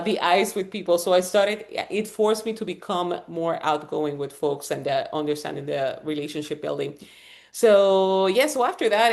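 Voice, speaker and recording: A woman in her forties with a Puerto Rican accent and a notably low, deep voice. She is a native Spanish speaker chatting as an interview guest, often circling back with "uh" and restarting her sentences. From Puerto Rico. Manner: rambling with many fillers and restarts. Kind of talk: conversational interview.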